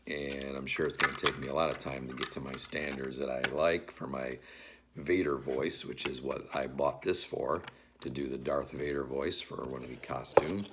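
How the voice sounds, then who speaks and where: A man hosting a casual unboxing, talking in a measured way as he handles and describes items, with rustling and clicking around him. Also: rumbling low, a bit bright. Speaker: unboxing video host. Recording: noisy room with rustling and clicking.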